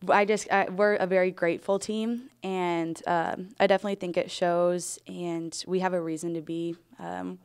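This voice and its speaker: Lower-pitched young woman, soft-spoken. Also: little pitch movement, normal speed, hardly any pauses, clear, resonant tone. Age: 20s. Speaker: college softball player. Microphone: handheld mic.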